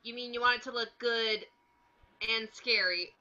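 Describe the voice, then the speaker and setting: An actor voicing an excitable, naive young male cartoon sea sponge, eager and childlike, in a script reading. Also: mid-range voice, moderate pace, highs cut off.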